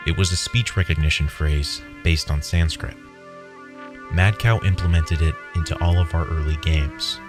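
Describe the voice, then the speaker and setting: A man narrating a first-person horror story in a measured, dramatic way. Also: rumbling low, fairly even intonation. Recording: loud music under the voice. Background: loud music.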